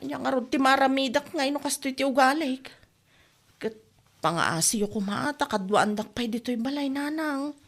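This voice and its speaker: A woman's voice: high voice, animated, moderate pace, a bit bright, full character voice. A woman playing a pleading, stubborn young wife and mother with an Ilocano Filipino accent in a radio comedy drama. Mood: defensive, complaining.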